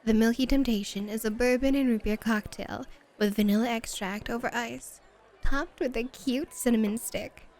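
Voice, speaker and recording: A woman playing a flirty, teasing bunny-club hostess in an ASMR roleplay, with coy, drawn-out phrasing. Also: fairly high pitch, fairly even intonation, darkish tone, close to an everyday voice. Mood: sultry, inviting.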